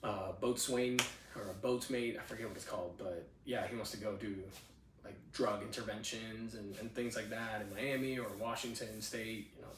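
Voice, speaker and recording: A man's voice: on the low side, fairly even intonation, moderate pace, recorded from a distance, noisy background. Laid-back young man in a roomy bedroom. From Cuba. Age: 20s. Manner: laid-back, chatty, frequent "you know what I'm saying". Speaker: podcast host. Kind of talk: casual personal storytelling. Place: spare bedroom at home. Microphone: handheld mic.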